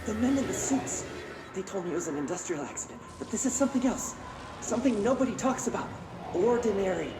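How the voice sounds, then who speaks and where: An actor playing a frightened, agitated caller to a radio show in a game, halting and urgent. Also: mid-range voice, expressive, natural voice. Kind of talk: radio call-in drama. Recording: echoey, very noisy call.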